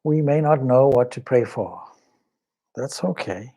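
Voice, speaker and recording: A man leading a guided meditation as a swami, speaking in a measured, solemn, devotional way with pauses between short phrases. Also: medium pitch, lively intonation, warm tone.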